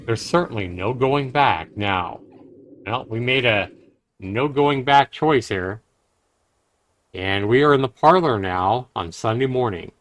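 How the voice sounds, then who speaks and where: A man's voice: medium pitch, lively intonation, a little slow, a bit bright, no echo. A man streaming a game, reading a visual novel aloud and adding casual commentary.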